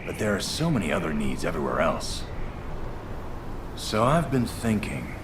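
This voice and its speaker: An actor playing an earnest, concerned field officer in a video game, explaining things politely. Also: male voice, low voice, big pitch swings, normal speed. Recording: roomy echo, noise behind.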